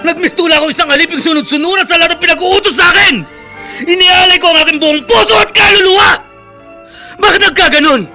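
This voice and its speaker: A man's voice: extremely high pitch, fast talker, sharp tone, full character voice. An actor playing a bitter, defiant, drunken young Filipino man in an anguished, melodramatic radio drama style. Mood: anguished rage. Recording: faint music behind.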